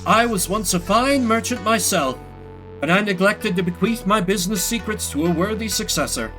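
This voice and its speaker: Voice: mid-range voice, moderate pace, a bit bright, soft background music, voice pushed up, close to an everyday voice. A man voicing the formal, theatrical ghost of a bygone merchant who mentors in a video game. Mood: grand, instructive.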